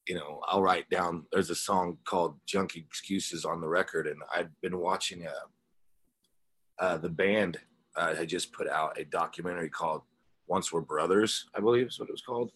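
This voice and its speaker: Low-voiced man telling casual stories. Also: normal speed, a bit bright, breathy edge, dry recording. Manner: informal, rambling storyteller. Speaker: musician interview guest, rock singer and guitarist. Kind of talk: casual chat, telling anecdotes.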